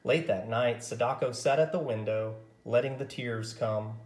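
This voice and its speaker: A man reading a picture book story aloud in a gentle, measured way with dramatic pauses. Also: mid-range voice, roomy sound. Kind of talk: scripted story narration, read aloud.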